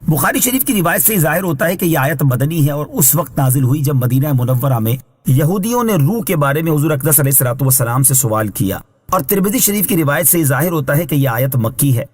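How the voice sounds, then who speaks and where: Male religious scholar for scripted exegesis narration. Higher than average pitch, rough-edged tone, formal teaching delivery. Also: lively intonation. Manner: measured, formal, instructive. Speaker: Islamic scholar reading translation and commentary.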